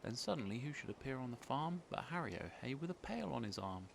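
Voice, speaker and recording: A man narrating a children's storybook in an expressive read-aloud style, with faint noise behind. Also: medium pitch, brisk, gravelly.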